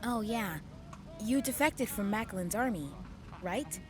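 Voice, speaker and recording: An actress playing a curious young woman soldier in an audio drama, asking quick, friendly questions. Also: medium pitch, lively intonation, soft background music.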